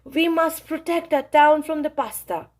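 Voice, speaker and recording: A woman's voice: high-pitched, a little slow, pitched above the performer's own voice. A woman voicing alarmed, pleading townspeople in a storybook, crying out urgently.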